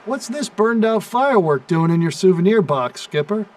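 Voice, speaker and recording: An actor playing an eager young navy plane, a folksy, wisecracking sidekick in an animated video game. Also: male voice, high voice, normal speed, far from the mic. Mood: jokey, admiring.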